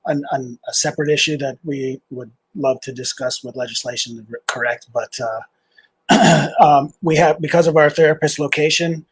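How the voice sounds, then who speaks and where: A middle-aged man, a nonprofit founder, answering interview questions in a halting, casual way. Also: on the high side, steady tone, slightly rough, no room sound, low-quality recording. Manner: halting, with many "uh"s and restarts.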